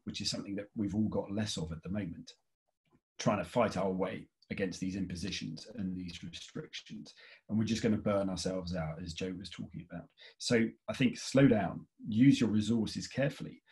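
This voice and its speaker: Calm man giving webinar tips on a video call. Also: on the low side, vocal fry. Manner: calm, measured, frequent "okay?" check-ins. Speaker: webinar speaker. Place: home study with bookshelves. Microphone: video call webcam.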